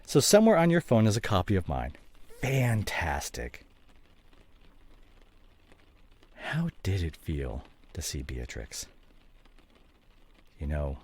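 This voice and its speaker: Man acting as low, husky wounded estranged husband. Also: darkish tone, exaggerated voice. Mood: bitter, probing. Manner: emotional, halting, swinging from muted to heated. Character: estranged husband and father, guilt-ridden, defensive, wounded. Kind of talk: dramatic audio roleplay, one side of a conversation.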